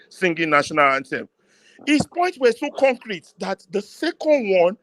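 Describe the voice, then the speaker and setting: A man's voice: sits high, a bit bright, no echo. A man in a heated debate, combative and emphatic, firing rhetorical questions and repeating himself for effect.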